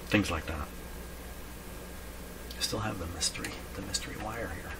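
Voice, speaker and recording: A man's voice: sits low, normal speed. A man giving casual hands-on repair commentary, thinking aloud and asking himself questions as he works. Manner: musing, asks himself questions. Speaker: radio repair hobbyist and video host. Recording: clearly noisy workshop background.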